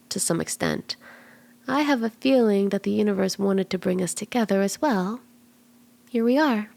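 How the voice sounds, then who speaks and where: Kitsune tarot reader acted by a woman: flirty, playful, soft and intimate ASMR delivery with noticeable vocal fry and some whispering. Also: medium pitch. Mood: playful, affectionate, then soft, mysterious. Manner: teasing, reassuring, conversational. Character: flirty, playful female kitsune fortune teller.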